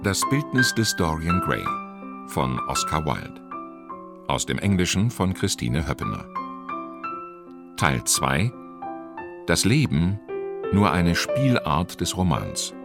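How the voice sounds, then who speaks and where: Male announcer, deep creaky voice, unhurried, scripted credits, piano music behind. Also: sing-song. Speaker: announcer of title and cast.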